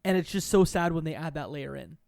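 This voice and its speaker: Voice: medium pitch, a little fast, gravelly, a little mellow. A podcast guest chatting casually with a wry, joking manner. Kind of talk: casual chat.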